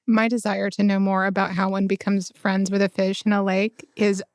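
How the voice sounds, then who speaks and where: A woman guest on a tabletop game podcast, talking hesitantly with quick asides. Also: mid-range voice, little pitch movement, dry recording, clean studio sound. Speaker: guest player, social media manager. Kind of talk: casual podcast chat.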